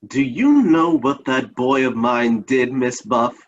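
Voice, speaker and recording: A man plays a genial, folksy uncle in old-time radio drama. His voice is husky and moves freely up and down. The recording is somewhat dull. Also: mid-range voice, animated, slow. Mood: jovial.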